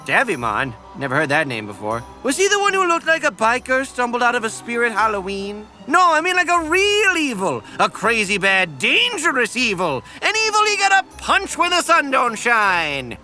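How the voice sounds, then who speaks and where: Crazed old cartoon sage: bright, pompous, rambling delivery over background music. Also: male voice, extremely high pitch, huge pitch swings, moderate pace, few pauses, heavily acted. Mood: flippant, grandiose. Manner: rambling, whimsical, pompous, flippant. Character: crazed, evasive old male sage guiding child adventurers. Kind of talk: comedic animated parody voice acting.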